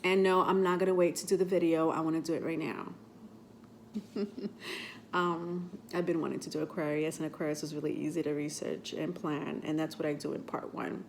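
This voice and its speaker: A woman on the lower side of the usual pitch range, her voice a little stuffy because she is getting over a cold. She hosts a tarot vlog and talks in a rambling, chatty way with plenty of fillers. A faint hiss of noise sits under her voice. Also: little pitch movement, a little fast, gravelly. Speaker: tarot reader and channel host. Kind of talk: casual vlog talk to camera.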